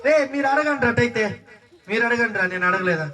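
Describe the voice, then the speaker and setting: A woman's voice: fairly high pitch, expressive, fast talker. A woman presenting a children's puppet show with playful banter and teasing mock scolding. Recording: distant mic, noisy crowd of children. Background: crowd of children.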